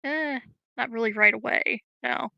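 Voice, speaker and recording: A woman's voice: a little high, a little slow, gravelly, sharp tone, no echo, dull audio. A woman playing in a tabletop game, speaking casually and a little tentatively. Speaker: player.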